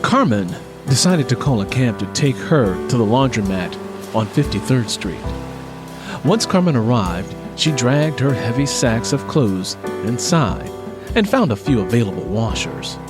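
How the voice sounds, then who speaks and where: A man's voice: medium pitch, sing-song, a little slow, over music. A man reading scripted fiction as an audiobook narrator.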